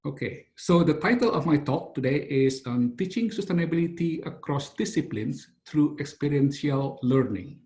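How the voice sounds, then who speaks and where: A middle-aged man from Indonesia, giving a webinar lecture over a video call, halting and full of "uh" fillers and restarts. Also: fairly high pitch, lively intonation, darkish tone. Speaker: engineering professor.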